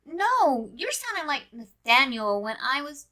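A teenage girl from a fan audio drama acted by a woman, flustered and a bit teasing. The voice sits fairly high with a sharp edge. The protests come in emphatic, halting pieces. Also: sing-song, heavily acted. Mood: flustered, defensive.

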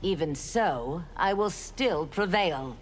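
An actress playing a righteous, earnest holy warrior in a video game. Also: female voice, lowish voice, animated, slow pace, heavy background noise. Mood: righteous reproach. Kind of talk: video game fight intro dialogue.

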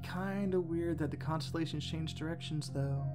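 A man's voice: a little high, normal speed, over music. A man co-hosting a scripted explanatory commentary on a cartoon. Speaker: co-host.

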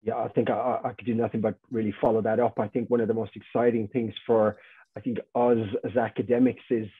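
A middle-aged man, a university academic, speaking haltingly with many repeated words on a webinar panel. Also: fairly low pitch, level tone, husky, no room sound. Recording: tinny dry video call audio.

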